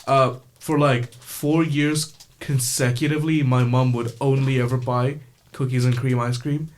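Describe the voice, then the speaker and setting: A man's voice: medium pitch, fairly even intonation, unhurried. A young man, co-hosting a casual student radio chat, rambling along with lots of fillers. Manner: rambling, laid-back, hedging with fillers.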